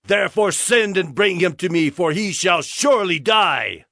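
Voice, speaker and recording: A man's voice: high-pitched, animated, moderate pace, vocal fry, fairly bright tone, no room sound, studio quality, full character voice. A man playing a furious, vengeful king in a dramatized Bible story, harsh and commanding. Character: furious vengeful king raging at his son.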